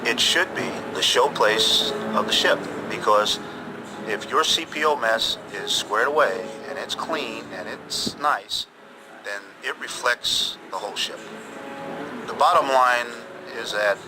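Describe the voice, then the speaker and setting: A man's voice: mid-range voice, animated, measured pace. An American man speaking informally as a navy interviewee, explaining from his own experience. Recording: clear hum of background ambience.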